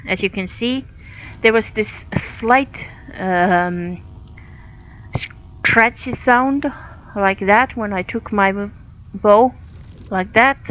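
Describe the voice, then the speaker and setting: A woman's voice: medium pitch, a bit bright, poor audio. A woman from Finland with a Finnish accent, thinking aloud with many fillers while she streams a game. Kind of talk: live gameplay commentary.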